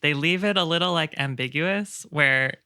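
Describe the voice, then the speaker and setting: A man's voice: a little high, normal speed, pushed and bright, smooth, no echo. A man in a casual podcast discussion, musing as he goes.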